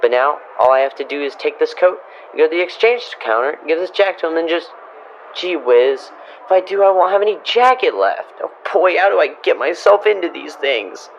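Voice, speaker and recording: A man's voice: on the high side, animated, fairly quick. A man playing a naive, flustered teenage boy who stammers and whines in an old-time radio comedy style. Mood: scheming, then dismayed. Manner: whiny, stammering protests. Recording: dull, band-limited, with clear background noise.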